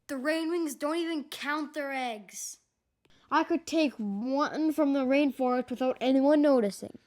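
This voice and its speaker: A man's voice: very high-pitched, unhurried, a little mellow. A young boy voicing an earnest, determined male sea dragon in a storybook read-aloud. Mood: urgent, determined. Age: child. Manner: hissing, grim, insistent.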